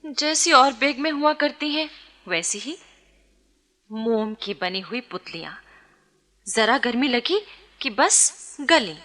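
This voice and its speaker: A woman's voice: a little high, expressive, slow, long pauses, pushed and bright, full character voice. A woman playing a bold, enigmatic young court dancer in a radio drama, speaking in a theatrical, poised and teasing way. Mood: disdainful. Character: bold, enigmatic young dancing girl in love. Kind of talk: theatrical Hindi radio-play acting.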